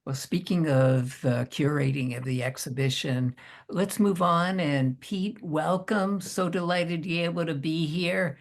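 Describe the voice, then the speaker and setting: A woman's voice: deep voice, unhurried. A woman hosting an interview, asking questions and giving brief affirmations. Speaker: host. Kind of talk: interview host.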